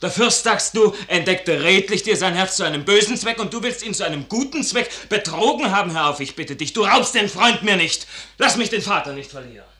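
An actor playing an idealistic, anguished young officer and son, impassioned and theatrical, in a 1950s German radio verse drama. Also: male voice, high-pitched, lively intonation, brisk, hardly any pauses. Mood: anguished, reproachful. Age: young adult. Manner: impassioned, theatrical, swinging from hushed to crying out.

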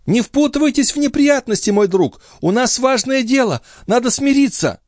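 A man voicing an anxious gentlewoman in a storybook, pleading and wailing in a high voice. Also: animated, moderate pace, no echo, clean studio sound. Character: frantic, anxious young gentlewoman pleading with her brother.

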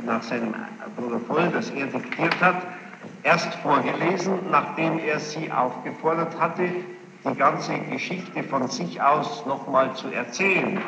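A man questioning a witness as a presiding judge, formal and probing, choosing his words deliberately with pointed challenges. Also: a little high, vocal fry. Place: large reverberant courtroom. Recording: muffled, noisy archival courtroom tape, echoing hall.

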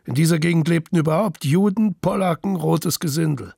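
A man with a creaky, gravelly voice reading scripted radio drama narration in staccato sentences. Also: on the high side, fairly quick. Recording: noticeable ambient background noise.

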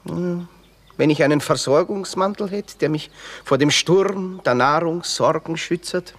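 An actor playing a witty, bitter young drifter in a Viennese stage comedy for radio, with an Austrian accent and pompous wordplay. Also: male voice, a little high, a little slow. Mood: self-pitying, theatrical. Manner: florid, pompous wordplay with dry asides. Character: witty, bitter red-haired young drifter, grandiloquent and sardonic.

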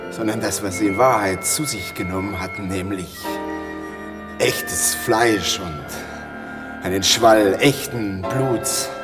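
An actor in a radio play playing a wry, morbid man who tells grisly tales in a halting, rambling way. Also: on the low side, in a large hall, far from the mic. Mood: rising, thundering. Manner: halting, rambling storytelling with pauses and dry asides.

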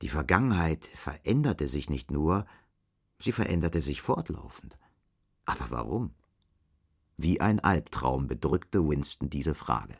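An actor as the grave, detached male narrator of a dystopian radio play, delivering scripted literary prose in a measured way. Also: a bass voice, very animated, creaky, slightly rough, full character voice.

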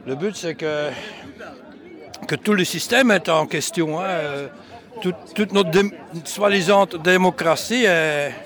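A man, not a native French speaker, answers a street interview at a demonstration, halting with many restarts and fillers. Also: sits high, fairly bright tone.

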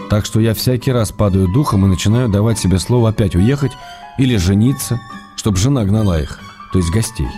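A man's voice: fairly low pitch, dark tone, close to an everyday voice. A man acting in a radio drama as a wry, tender, weary writer in love, reading his letters with intimate understatement. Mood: weary, joking.

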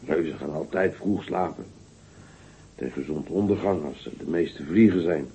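Deep, drowsy, lazy folk hero. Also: male voice, normal speed, dark tone. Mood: drowsy boasting. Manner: drowsy, yawning, unbothered. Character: sleepy, lazy, boastful folk-tale hero, a man. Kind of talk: radio play acting. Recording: dull, noisy old radio recording.

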